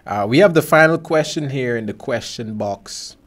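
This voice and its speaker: A man's voice: medium pitch, lively intonation, normal speed. A young man from Guyana with a mixed Caribbean accent, hosting a podcast interview in a small studio on a desk mic, joking and laughing easily. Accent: Caribbean English, mixed Guyanese and Bahamian. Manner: joking, laughs often, easygoing. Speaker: podcast host. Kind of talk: casual interview chat. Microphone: studio mic on stand.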